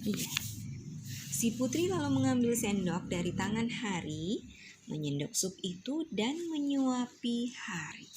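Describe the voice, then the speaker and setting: A woman's voice: on the high side, sing-song, takes it slowly, noisy background. A young woman at home, reading a storybook aloud to her child, often whispering and asking the child questions.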